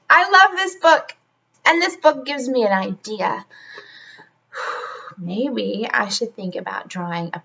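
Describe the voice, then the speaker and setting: A woman's voice: medium pitch, gravelly, a bit bright, muffled recording, slight background noise. A woman reading a children's story aloud expressively, like a teacher, adding chatty asides straight to her young listeners.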